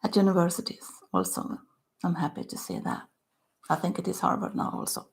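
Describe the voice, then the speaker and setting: An older woman with a Swedish accent, speaking English in halting phrases, her voice dropping to a soft near-whisper at the ends of phrases as she talks about her own book. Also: on the low side, sing-song, creaky. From Sweden. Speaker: author. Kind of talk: self-recorded book talk.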